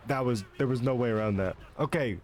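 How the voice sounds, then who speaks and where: A man's voice: medium pitch, a little fast, a little mellow, a little hiss or hum. A young man giving casual, self-mocking commentary while streaming a shooter game, swearing and laughing between shots.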